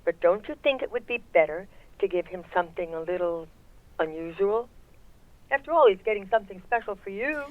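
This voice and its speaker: A woman playing a sweet, genteel mother who gently advises her grown daughter over a telephone filter, in old-time radio comedy style. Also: mid-range voice, lively intonation, a little fast. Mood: coaxing. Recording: thin, phone-like narrow sound.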